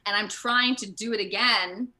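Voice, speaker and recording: American woman, actor being interviewed, really bright crisp-edged voice, chatty and expressive storyteller. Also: mid-range voice, normal speed, rough recording. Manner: animated storytelling, laughs often, acts out moments. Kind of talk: casual interview chat. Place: remote video call.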